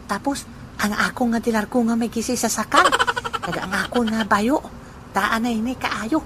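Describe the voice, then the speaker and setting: A woman's voice: a little high, natural voice. A woman voicing a boastful, joking old grandfather who is poor but proud, speaking in a teasing way in an amateur audio drama. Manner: teasing, laughing, slow deliberate delivery. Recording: noisy amateur recording.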